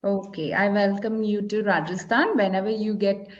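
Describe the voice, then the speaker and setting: A woman from Kerala with an Indian English accent, whose first language is Malayalam, chatting and tutoring slowly and encouragingly on a video call. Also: medium pitch, steady tone, muffled recording, slight background noise. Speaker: English conversation teacher. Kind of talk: casual tutoring chat.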